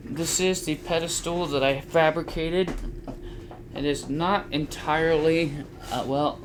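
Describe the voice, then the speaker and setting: A middle-aged man casually explaining a hands-on build, halting as he thinks aloud, in a small home room. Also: on the high side, steady tone. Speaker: host of a DIY flight simulator build channel. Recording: faint background room noise.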